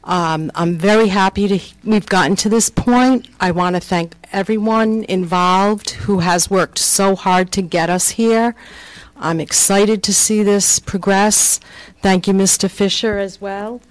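A woman's voice: medium pitch, steady tone, a little slow, no echo, rough recording. A woman resident speaking at a council meeting, pleased and thankful. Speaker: resident giving public comment.

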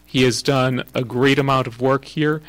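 A man chairing a city council meeting, formal and measured, with frequent pauses. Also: mid-range voice, steady tone, dry recording, low-quality recording. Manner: formal, measured, many uh fillers.

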